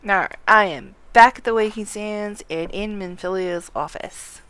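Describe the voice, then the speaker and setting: A woman's voice: mid-range voice, measured pace, a bit bright, clear, resonant tone, dry recording. A woman livestreaming a video game, chatting casually and murmuring half to herself as she plays. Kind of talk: casual game playthrough commentary, reading dialogue aloud. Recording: faint background noise.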